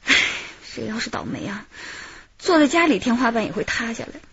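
A woman's voice: fairly high pitch, expressive, brisk, slight background noise. An actress playing a chatty, self-pitying housewife in her thirties in a radio drama, rambling and gossipy with dramatic sighs. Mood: plaintive, chatty. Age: 30s. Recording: muffled, roomy radio drama recording.

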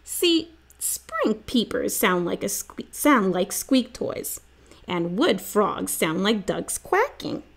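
A woman's voice: mid-range voice, sing-song, slow pace, vocal fry, exaggerated voice. A woman reading the part of an enthusiastic, emphatic young boy in a children's storybook. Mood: eager. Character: eager young boy obsessed with frog sounds.

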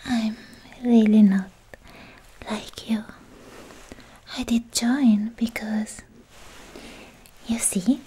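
A woman playing a shy, lovestruck young demon slayer in a whispered, halting ASMR roleplay, sweet and romantic, close on the microphone. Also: fairly high pitch, a little hiss or hum, low-quality recording. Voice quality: soft, breathy whisper. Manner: hesitant, bashful, halting with many pauses.

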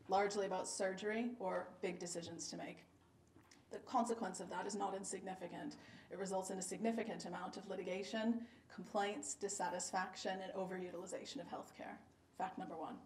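A woman's voice: medium pitch, darkish tone, slight background noise. Boston-accented woman, punchy keynote delivery, echoey room, distant mic. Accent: Boston American. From United States. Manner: emphatic, data-driven, punchy repeated "fact" refrains. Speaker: keynote speaker, health service director. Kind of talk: conference keynote talk. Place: conference lecture room.

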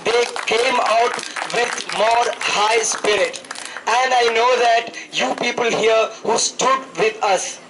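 High-pitched young Indian man giving a speech. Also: a bit bright, slight background noise, low-quality recording. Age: 20s. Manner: halting, repeats phrases for emphasis. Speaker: student activist. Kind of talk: impassioned public political speech. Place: outdoors at night, public gathering. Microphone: handheld microphone held close to the mouth.

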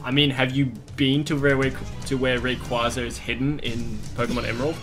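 A man's voice: mid-range voice, little pitch movement, moderate pace. A young man giving casual, dryly teasing commentary on a video game. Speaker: let's play co-commentator. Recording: game music clearly behind the voice. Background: video game music.

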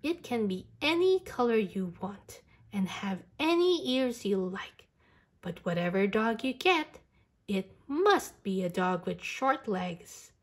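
Lady reading as a know-it-all kid boy from a storybook, brisk, lively, bit breathy. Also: mid-range voice. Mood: matter-of-fact. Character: matter-of-fact young boy, the brother's know-it-all friend.

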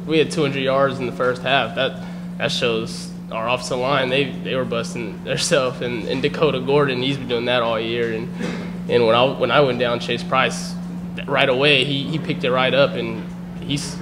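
A man's voice: mid-range voice, steady tone, moderate pace. A young man, a college running back, answering reporters humbly with many stammered restarts. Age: young adult. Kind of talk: postgame press conference answers. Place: press conference room, indoors. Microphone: table microphone. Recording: loud background hum.

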